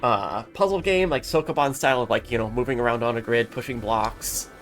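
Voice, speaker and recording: A man's voice: mid-range voice, a little fast, a bit bright, faint music behind. Man, podcast co-host, casual chat, rambling, explains things.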